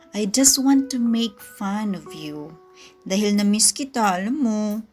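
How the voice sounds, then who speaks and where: A woman's voice: mid-range voice, close to an everyday voice. A woman acting as an affectionate, teasing young sweetheart in a radio drama, with a Filipino accent. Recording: music playing behind the voice.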